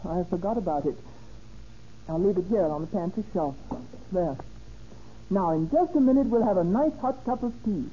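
A woman's voice: fairly low pitch, lively intonation, moderate pace, warm tone, close to an everyday voice. An actress playing a cold, scheming, murderous woman in a theatrical, commanding old-time radio drama style. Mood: falsely sweet. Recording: dull, noisy old radio recording.

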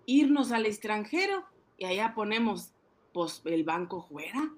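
A man voicing an excitable, boastful ice-cream seller who fancies himself a banker, cajoling and exclaiming in a comic radio drama. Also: high voice, unhurried, voice pushed up. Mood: scheming, excited.

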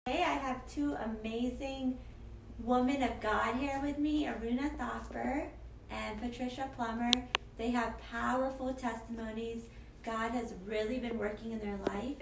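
A young woman hosting a podcast interview, gentle and encouraging with her guests. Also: on the high side, gravelly, distant mic, background noise. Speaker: podcast host. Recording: echoey room, off-mic.

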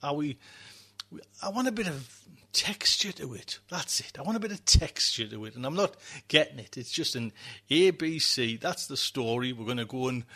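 Bright-voiced man rambling casually. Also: mid-range voice, lively intonation, normal speed, slightly rough. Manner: conversational, repeats himself, trails off mid-thought. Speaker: podcast host.

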